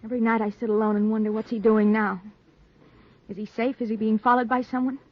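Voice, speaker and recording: An actress playing a reporter's anxious wife, fretful and pleading in melodramatic 1940s radio style. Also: female voice, a little high, flat delivery, fast, close to an everyday voice. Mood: anxious, weary. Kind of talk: 1940s radio noir acting. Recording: muffled 1940s radio recording.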